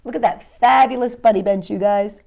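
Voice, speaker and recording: A woman hosting a children's storytime, reading a picture book aloud and chatting gently with young viewers, asking them questions. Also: medium pitch, brisk, creaky, dull audio.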